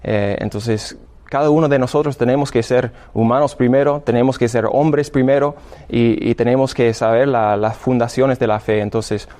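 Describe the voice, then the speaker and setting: A man's voice: mid-range voice, fairly even intonation, dark tone. American man, hesitant Spanish. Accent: American English. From Illinois, United States. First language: English. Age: 30s. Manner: halting, many restarts. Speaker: seminarian guest. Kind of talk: radio interview testimony.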